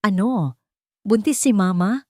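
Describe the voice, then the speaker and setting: A woman's voice: medium pitch, measured pace, no room sound, clean studio sound, pitched above the performer's own voice, natural voice. A woman with a Filipino accent voicing an agitated, indignant grown son in an audio drama. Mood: shocked, angry.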